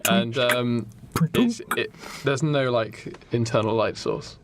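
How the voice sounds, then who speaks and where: Creaky-voiced young British man. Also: mid-range voice, slow pace. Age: young adult. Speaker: podcast co-host. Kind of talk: casual comic improvised storytelling. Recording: clear background noise.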